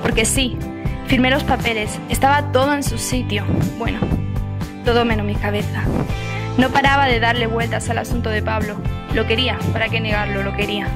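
An actress narrating as a twenty-year-old student, in a wry, calmly confessional voice-over. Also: female voice, fairly high pitch, fairly quick, natural voice. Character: dry, aloof young woman student abroad. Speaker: narrator of her own story. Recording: voice-over with music behind.

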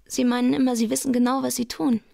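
Radio drama: shrewd secretary, heavy vocal fry, husky, knowing, closely recorded. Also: female voice, a little high, little pitch movement, quick pace, dark tone, dry recording, clean studio sound. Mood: reproachful. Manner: knowing, probing, frank. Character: shrewd, teasing female secretary of private detective. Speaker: actress in a radio crime drama.

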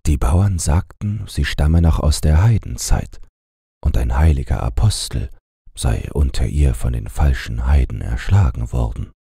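A man narrating a bedtime fairy tale in a gravelly, husky voice, with a measured, unhurried storyteller's delivery. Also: rumbling low, dark tone, dry recording, clean studio sound. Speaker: audiobook narrator.

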